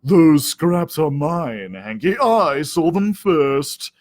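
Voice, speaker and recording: A man voicing a sly, droll male cat in a storybook, with a slow, mock-gloomy drawl. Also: fairly high pitch, lively intonation. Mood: possessive.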